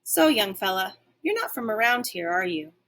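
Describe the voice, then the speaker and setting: A woman's voice: mid-range voice, big pitch swings, moderate pace. Woman voicing a kindly, lilting female dog. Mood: gentle, friendly. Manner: gentle, friendly.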